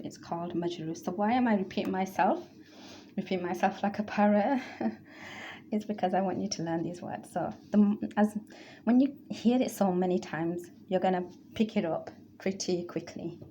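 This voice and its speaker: A woman's voice: lowish voice, warm tone, a little hiss or hum. A woman teaching Arabic grammar, explaining patiently and repeating word lists.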